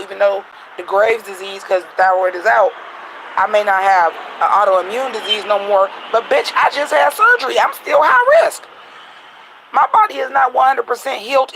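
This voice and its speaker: High-voiced raspy man ranting. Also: fairly bright tone, a little hiss or hum, poor audio. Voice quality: a little raspy. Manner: blunt, profane, ranting. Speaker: vlogger. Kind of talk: casual venting monologue. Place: at home at night, in the dark.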